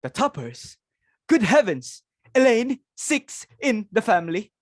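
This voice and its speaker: A woman's voice: fairly high pitch, big pitch swings, measured pace, a little hiss or hum. A woman playing a fussy, snobbish society hostess and mother in a dramatic reading of a stage comedy, speaking in a haughty, exclamatory way. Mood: fussy, disdainful.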